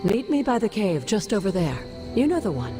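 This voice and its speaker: Game role: a serene, ancient sorceress's ghost, wry and fond, with a swooping, lively delivery over prominent music. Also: female voice, medium pitch. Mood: calm, mischievous. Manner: wry, unhurried, affectionate. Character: serene, playful ghost of a thousand-year-old sorceress. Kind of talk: video game voice acting.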